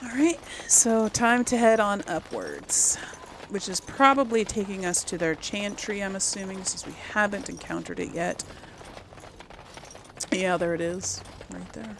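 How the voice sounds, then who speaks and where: A woman's voice: mid-range voice, animated, unhurried, noisy background. A woman giving casual gameplay commentary, thinking aloud and rambling as she plays. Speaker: gaming video host and player. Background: game ambience.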